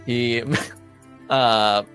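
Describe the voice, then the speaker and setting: A man running a tabletop roleplaying game as game master. He narrates at a slow pace in a mid-pitched voice, pausing to search for words. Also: fairly even intonation, a bit bright, faint music behind.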